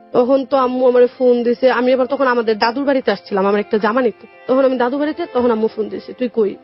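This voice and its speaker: Young Bangladeshi woman, dull radio sound with music. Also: a little high. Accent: Bangladeshi Bengali. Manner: colloquial, retells conversations as quoted dialogue. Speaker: guest telling life story. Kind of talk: personal storytelling in a radio interview.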